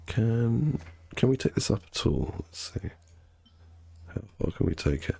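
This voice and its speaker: A man's voice: medium pitch, big pitch swings, measured pace, creaky, mellow, breathy edge. A man streaming a game, talking in a casual, rambling way with a nasal voice and frequent restarts. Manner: rambling, self-correcting, chatty. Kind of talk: casual chat while gaming.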